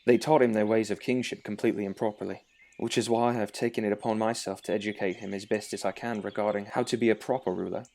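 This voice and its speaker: A man's voice: on the low side, fairly even intonation, fast, creaky, darkish tone. A man playing a loyal, level-headed young knight and confidant in an audio drama. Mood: serious, explaining.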